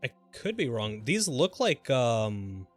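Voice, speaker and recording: A man live-streaming a game with casual, exclamatory commentary, reacting out loud and swearing freely. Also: mid-range voice, big pitch swings, slow, soft background music.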